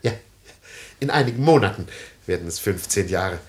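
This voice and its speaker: Self-pitying family father with lively, swinging intonation. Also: low-pitched, moderate pace. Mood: sociable. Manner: melodramatic, self-important. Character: self-pitying, theatrical middle-aged photographer and family father. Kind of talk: 1950s German radio play acting. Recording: 1950s radio recording with noise, clicks.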